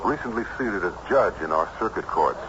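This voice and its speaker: Actor as a deep-voiced, dignified gentleman judge. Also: lively intonation, brisk, lightly acted. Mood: curious. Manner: formal, articulate. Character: dignified, curious traveling judge, recounting his journey. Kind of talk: 1970s radio drama acting. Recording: noisy, muffled old radio broadcast.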